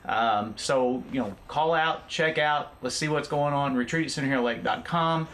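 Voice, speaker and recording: Man, upbeat resort guest, mid-pitched, easygoing chat. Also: moderate pace, a bit bright, slight background noise. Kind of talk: casual promotional chat.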